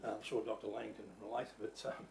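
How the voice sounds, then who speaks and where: An Australian man chairs a council meeting in a croaky, fairly low voice. He hesitates often, full of fillers, but stays polite. A faint hum sits behind him in the chamber. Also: level tone, quick pace, a little mellow, low-quality recording. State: croaky voice after illness.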